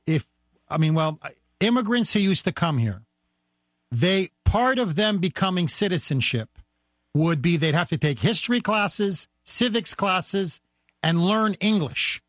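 Forceful male talk radio host. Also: high voice, expressive, a little slow, no room sound. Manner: forceful, rhetorical, speeds up when pressing a point. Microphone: studio microphone.

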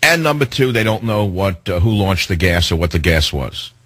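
A man hosting a talk radio show, delivering a sarcastic, indignant monologue with theatrical swings in pitch. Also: sing-song, moderate pace, a bit bright, slightly rough, no echo.

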